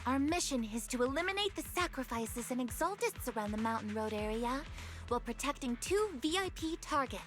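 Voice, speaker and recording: Young anime game soldier girl, high voice, swingy pitch, earnest and urgent, music playing. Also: expressive, a little fast, fairly bright tone. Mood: focused, serious. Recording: music behind the voice.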